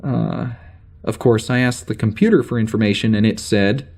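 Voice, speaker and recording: A man's voice: on the low side, fairly even intonation, vocal fry, a little mellow. A man playing a flustered, hesitant spaceship officer. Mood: puzzled, uneasy, then exasperated. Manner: halting, rambling, full of hesitations and sighs. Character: flustered, amnesiac male spaceship security officer keeping audio logs. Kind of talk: audio drama, informal spoken log entry.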